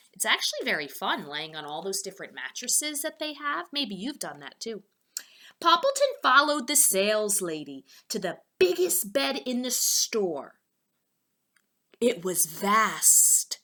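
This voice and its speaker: A woman's voice: mid-range voice, big pitch swings, measured pace, pushed and bright. A woman teacher reading a children's storybook aloud, expressively, with asides spoken directly to her young listeners.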